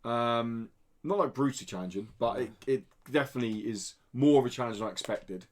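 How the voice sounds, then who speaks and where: A male podcast co-host with a medium-pitched voice talks casually about video games. His delivery is informal and conversational, peppered with the occasional swear word. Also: brisk, a little mellow.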